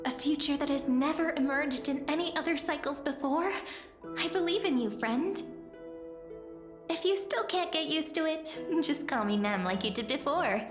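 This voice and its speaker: A woman's voice: high voice, normal speed, echoey room, music in the background, lightly acted. A woman voicing a playful, devoted companion in a video game, earnest and encouraging. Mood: earnest, hopeful. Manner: earnest, encouraging, gently teasing.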